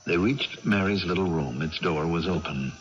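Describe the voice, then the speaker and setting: An actor playing a grave storyteller in a 1980s radio drama, narrating in a measured, literary manner. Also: male voice, low-pitched, lightly acted. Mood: foreboding. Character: grave storyteller recounting a strange family tale. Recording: muffled old radio broadcast with music.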